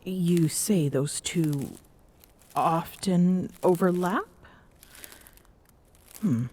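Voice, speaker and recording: Woman as imperious yet tender warrior queen for ASMR roleplay: very unhurried, lowish, breathy, halting and regal. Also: dark tone, full character voice. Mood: composed, bemused. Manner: halting, hesitant, formal regal phrasing. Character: tall proud Amazonian queen, smitten, flustered, imperious yet tender. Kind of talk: intimate ASMR audio roleplay.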